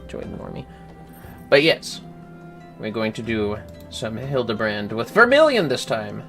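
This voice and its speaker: A man's voice: on the low side, sing-song, measured pace, fairly bright tone. A man hosting a casual game livestream, chatting in a playful, joking way. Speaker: livestream host. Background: game music.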